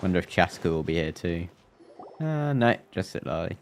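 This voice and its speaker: A man's voice: low voice, sing-song, moderate pace, slight background noise. A man giving casual, offhand commentary on a game livestream, with muttered asides. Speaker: streamer.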